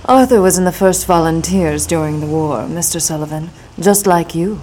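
Actress as mellow, low-voiced young femme fatale. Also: female voice, normal speed, slight background noise, close to an everyday voice, rough recording. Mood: poised, cool. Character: cool, wealthy, petite noir femme fatale wife, mid-twenties. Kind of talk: noir audio drama dialogue. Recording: music under the voice.

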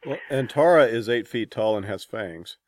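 A man's voice: fairly low pitch, animated, normal speed, no room sound. A man working as an interviewer, chatting casually with a guest.

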